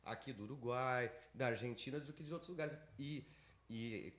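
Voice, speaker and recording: A man telling a lively anecdote on a school podcast. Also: medium pitch, moderate pace, roomy sound. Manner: lively storytelling, animated quoting. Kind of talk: anecdotal casual chat.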